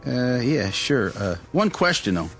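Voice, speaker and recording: Animated American car-lot sidekick. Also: male voice, mid-range voice, a little slow, faint music behind. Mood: wry. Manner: casual, joking, folksy. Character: easygoing, wisecracking, loyal car-lot assistant. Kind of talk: radio drama acting.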